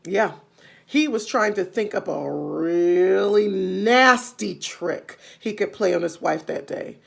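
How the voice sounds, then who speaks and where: Female children's storyteller: unhurried, creaky, expressive, with simple explanatory asides. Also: mid-range voice. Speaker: read-aloud storyteller.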